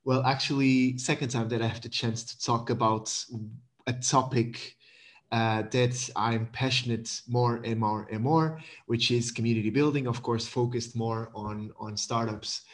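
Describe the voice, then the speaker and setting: A man's voice: medium pitch, steady tone, unhurried. A man in his thirties from Portugal, speaking English on a video call, eager and full of fillers, restarts and repeated words. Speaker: guest, community manager.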